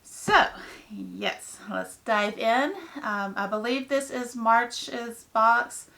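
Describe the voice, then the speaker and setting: A woman with a hoarse, raspy voice from a head cold, chatting casually through an unboxing with lots of ums. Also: a little high, drawn-out words, bright, forceful tone, rough recording. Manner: chatty, rambling, many ums. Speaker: book vlogger.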